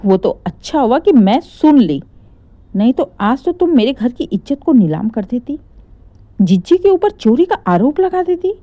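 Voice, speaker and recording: Lady doing a strict indian mother-in-law for a story, scolding n sarcastic, soft dark tone, super swoopy pitch. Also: big pitch swings, a little slow, dry recording, lo-fi sound, full character voice. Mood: sarcastic, accusing. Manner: scolding, pointed rhetorical questions. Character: stern, sarcastic middle-aged Indian mother-in-law, righteously indignant.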